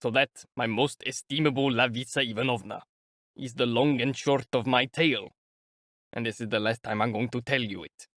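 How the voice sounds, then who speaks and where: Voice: mid-range voice, moderate pace, no echo, clean studio sound, close to an everyday voice. A reader voicing a hot-tempered police lieutenant who blusters and scolds. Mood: stern. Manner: shouting, blustering. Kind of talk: audiobook character voice.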